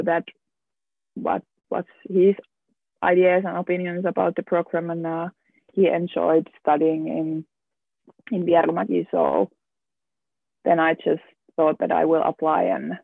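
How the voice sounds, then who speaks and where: Young guy, slow and hesitant, lots of restarts, gravelly voice, sounds like a phone call. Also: fairly high pitch, dry recording. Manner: hesitant, many restarts and repeated words. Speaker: student guest. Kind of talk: casual round-table chat. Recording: tinny phone-like line, dry.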